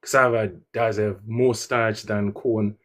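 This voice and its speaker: A man's voice: lowish voice, little pitch movement, slow pace. A man from Zimbabwe giving a casual, hesitant comment in an online debate room. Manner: hesitant, many fillers. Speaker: debate participant.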